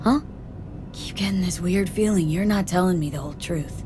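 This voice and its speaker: A woman's voice: lowish voice, normal speed, background noise, close to an everyday voice. A woman playing a blunt, sceptical streetwise mercenary in a video game, talking in a clipped, casual, wry way. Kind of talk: naturalistic video game voice acting.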